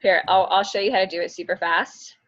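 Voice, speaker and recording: A woman's voice: mid-range voice, steady tone, a little fast, dry recording, lo-fi sound. A woman coaching a new recruit over a video call, upbeat as she walks him through an ordering site step by step. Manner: upbeat, instructive. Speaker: business coach. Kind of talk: casual video call chat and walkthrough.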